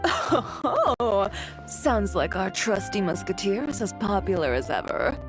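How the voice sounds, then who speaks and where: A woman's voice: mid-range voice, very animated, takes it slowly. An actress playing a polite, decisive woman organizing a film crew. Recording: game audio with faint music.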